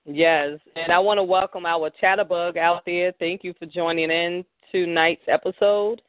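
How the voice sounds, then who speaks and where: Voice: medium pitch, fairly even intonation, normal speed, no echo. Talk radio host on a tinny line. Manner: brief encouraging listener sounds. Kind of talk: welcoming listeners on a talk radio show. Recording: tinny phone-like line, dry.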